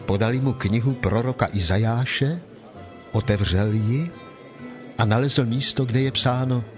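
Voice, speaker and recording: A man narrating scripture from a script in a measured, formal manner. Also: mid-range voice, over music.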